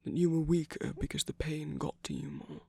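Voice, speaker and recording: A man playing a gentle, wry villain who uses magic, speaking softly and haltingly in an intimate ASMR roleplay with a breathy, husky voice. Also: a little high, fairly even intonation, no room sound. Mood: gentle, reassuring. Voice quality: breathy, husky, slightly creaky. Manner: measured, halting, hushed delivery with pauses. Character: gentle, wry villain magic user comforting a loved one.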